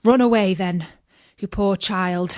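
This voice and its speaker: A woman's voice: mid-range voice, slow, a little mellow, no echo, natural voice. Storybook huntsman, read by a female narrator: pitying, resigned, plain delivery, dry close sound. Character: pitying adult male huntsman.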